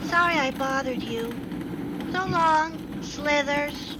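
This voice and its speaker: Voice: sits high, slow pace. An adult performer voicing an eager, pleading eight-year-old boy in an old-time radio comedy, on a noisy recording. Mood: resigned, polite.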